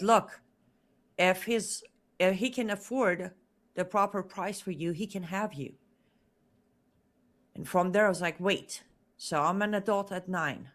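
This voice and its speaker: A woman's voice: fairly low pitch, fairly bright tone. A woman whose first language is Farsi, a guest telling her own story in an interview with earnest, emphatic speech that repeats words for stress. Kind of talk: interview testimony.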